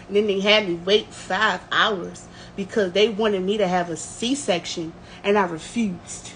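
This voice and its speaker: A woman's voice: medium pitch, fairly bright tone, poor audio. A woman telling a personal story on a livestream in a candid, emotional, emphatic way. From United States. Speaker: livestream host. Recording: clear background noise.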